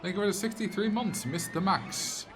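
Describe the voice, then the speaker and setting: A young man with a Dutch accent, a native Dutch speaker, casually commenting on a game during a live stream, reading viewer chat aloud, joking back, and now and then breaking into loud, exasperated rants. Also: high voice, expressive, normal speed, soft background music. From Netherlands. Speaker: livestreamer.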